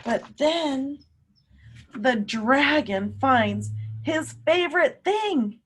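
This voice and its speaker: A woman teacher reading a picture book aloud to children, pausing to ask them simple, encouraging questions. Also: sits high, animated, fairly bright tone.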